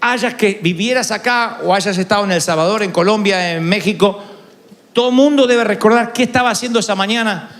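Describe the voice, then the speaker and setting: A man's voice: high voice, fairly quick, fairly bright tone. A man in his forties with an Argentine accent, preaching a sermon in a church and telling stories with humor, acting out the voices he quotes. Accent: Argentine Spanish. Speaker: pastor.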